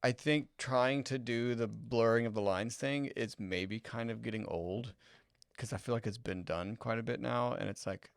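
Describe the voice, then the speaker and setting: A man's voice: mid-range voice, normal speed, gravelly, no echo, studio quality. A man co-hosting a casual podcast discussion, thinking aloud in a hesitant, conversational way. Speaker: podcast co-host.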